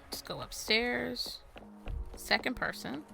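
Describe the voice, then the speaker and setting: A woman gaming streamer casually commentating on gameplay and reacting out loud. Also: fairly high pitch, expressive, slow, gravelly, over music. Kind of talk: casual gameplay commentary, reading dialogue aloud.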